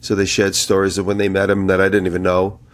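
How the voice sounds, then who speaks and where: A man's voice: low voice, monotone, fast talker. A man co-hosting a podcast in casual chat, telling rambling anecdotes, slightly nasal from a head cold. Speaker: podcast co-host.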